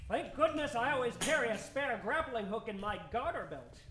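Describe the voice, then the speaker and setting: A man's voice: extremely high pitch, lively intonation, moderate pace, background noise. A man acting an eccentric, theatrical scientist adventurer in an old-time radio style, with florid, exclamatory delivery. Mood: thoughtful, relieved. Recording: roomy stage sound, faint music behind.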